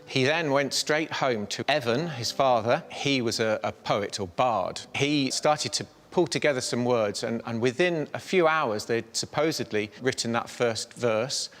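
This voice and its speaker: A man's voice: medium pitch, unhurried, hardly any pauses, a bit bright. Museum guide guy explaining stuff, bit umm-y, echoey hall. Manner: informative, hesitant with fillers. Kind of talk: explaining to a presenter. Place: indoor museum.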